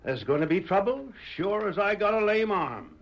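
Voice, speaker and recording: A man's voice: high-pitched, very animated, moderate pace, full character voice. A man playing an older, plain-spoken former sheriff in an old radio western, with a folksy Western American accent and emphatic frontier talk. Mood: earnest, opinionated. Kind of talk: 1940s radio western acting. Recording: muffled old radio broadcast.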